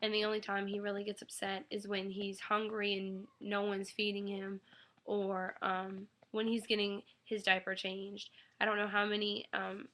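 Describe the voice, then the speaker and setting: A young woman recovering from an illness, her voice a little raspy, rambling casually about her newborn son with lots of ums. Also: mid-range voice, monotone, moderate pace, a bit bright. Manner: rambling, many ums, coos baby talk to her infant. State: getting over an illness. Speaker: new mother vlogger. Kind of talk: casual webcam update. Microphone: webcam mic.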